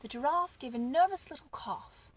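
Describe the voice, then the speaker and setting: A woman reading a children's book aloud as an expressive storyteller. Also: high-pitched, moderate pace. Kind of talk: expressive scripted narration.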